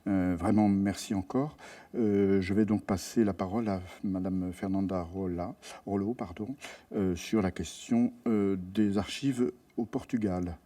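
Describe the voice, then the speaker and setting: Deep-voiced moderator with many hesitations. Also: male voice, steady tone, warm tone, no room sound. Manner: hesitant, filling pauses with many "euh". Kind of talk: chairing a panel discussion. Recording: dry, distant mic.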